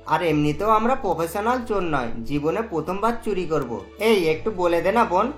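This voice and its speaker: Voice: medium pitch, lively intonation, moderate pace, over music, close to an everyday voice. An actor playing a naive, talkative young sidekick in a cartoon, full of questions and exclamations. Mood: confused, pleading.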